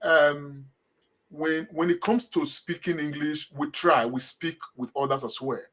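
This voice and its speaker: A man's voice: a little high, steady tone, measured pace, a bit bright. A middle-aged man with a Nigerian accent, answering interview questions in a hesitant way, repeating words and saying uh often. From Edo State, Nigeria. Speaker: guest.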